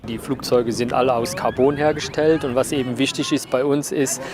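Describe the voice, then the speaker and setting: A man's voice: medium pitch, steady tone, moderate pace, crowd noise. A man from Belgium, a competition pilot, plainly explaining how the contest works.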